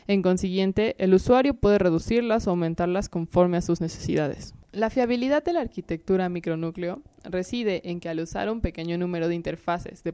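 Measured woman lecturing, smooth mellow voice. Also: fairly low pitch, dry recording. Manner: measured, lecturing, lists points one by one. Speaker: guest expert. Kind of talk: scripted explanatory talk.

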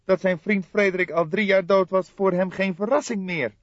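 A man's voice: high-pitched, steady tone, moderate pace, no room sound, lo-fi sound, voice pushed up, natural voice. A man voicing an exasperated younger police detective, a questioning sidekick in an audiobook detective story. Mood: shocked. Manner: shocked, sighing, protesting.

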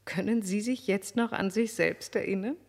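Worldly marquise, theatrically coquettish. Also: female voice, medium pitch, breathy edge. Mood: flattering, confiding. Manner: theatrical, coquettish, drawn-out emphasis. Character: worldly aristocratic marquise, flattering, amused, calculating society lady. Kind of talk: German radio drama acting.

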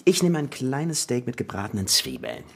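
A man's voice: medium pitch, wildly swooping pitch, normal speed, creaky, husky, heavily acted. An actor playing a cheeky, grumbling, exuberant young man about town in a German radio play. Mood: bantering. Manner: bantering, exuberant.

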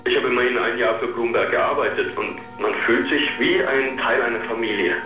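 A man's voice: medium pitch, fairly quick, lightly acted. An actor in a radio drama playing an enthusiastic man giving a testimonial. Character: enthusiastic male company participant giving a testimonial. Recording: distant, tinny, echoey playback, faint music.

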